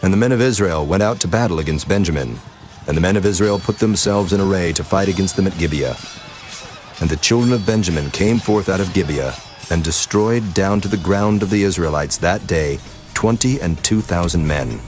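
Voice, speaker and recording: A man with a deep voice narrates scripture. His delivery is solemn and measured, read from a script over a clearly audible music bed.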